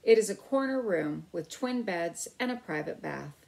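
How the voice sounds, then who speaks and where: A woman's voice: on the low side, expressive, normal speed, vocal fry, darkish tone, poor audio. Courteous hotel bellboy, as a woman narrator plays him. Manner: courteous, reassuring. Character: seasoned, polite hotel bellboy named Matt.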